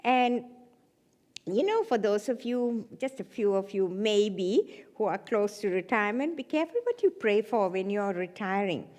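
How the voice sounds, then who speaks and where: A woman's voice: mid-range voice, darkish tone. A woman in her seventies from Sri Lanka, giving a chatty church talk with self-deprecating humour. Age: 70s. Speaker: guest speaker. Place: church hall.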